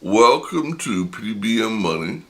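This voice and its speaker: An older man with a deep, gravelly voice that is a touch raspy from a long session of talking. He speaks slowly and reflectively, pausing often as he tells a personal story to his viewers. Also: sing-song. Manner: reflective and unhurried, many pauses. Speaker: channel host.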